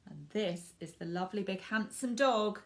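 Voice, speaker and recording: A woman reading a picture book aloud to children in an expressive way, stressing words for drama and speaking directly to young listeners. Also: medium pitch. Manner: animated, dramatic emphasis with drawn-out words and hushed asides.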